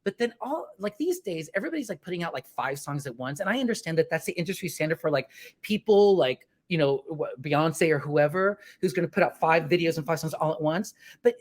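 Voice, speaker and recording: Guest singer: a man with a naturally high voice, halting and full of restarts. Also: animated. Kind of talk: casual interview chat.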